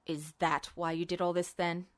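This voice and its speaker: A woman voicing a quiet, guarded military man in an audiobook story, tense and measured. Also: on the low side, flat delivery, voice pushed down, lightly acted. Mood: tense accusation. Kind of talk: audiobook-style character acting.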